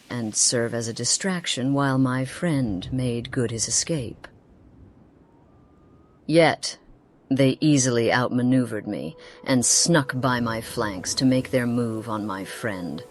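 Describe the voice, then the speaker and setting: An actress playing a grave, haunted woman companion in a fantasy game, speaking formally and earnestly. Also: very deep voice. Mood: haunted, grieving. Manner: formal archaic phrasing, earnest. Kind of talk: dramatic fantasy game voice acting.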